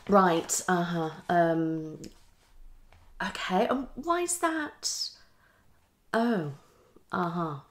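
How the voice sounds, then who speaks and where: A woman's voice: lowish voice, lively intonation, very slow, pauses often. A young woman playing a psychologist in an improvised ASMR roleplay, questioning and hesitant, often repeating short phrases. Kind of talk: fast unscripted ASMR roleplay. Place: small home room, indoors.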